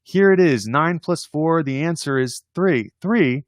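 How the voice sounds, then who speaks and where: A man hosting a casual read-aloud of children's poems, playful and expressive in verse, with informal chatty asides. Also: on the high side, unhurried, no room sound. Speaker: host reading poems aloud on a livestream.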